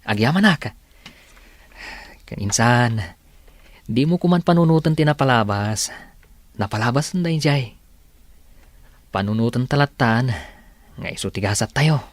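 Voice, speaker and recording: A man playing a warm, supportive young husband in an Ilocano radio drama, speaking with an Ilocano accent. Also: medium pitch, expressive, unhurried, pauses often, no echo. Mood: reassuring, forgiving.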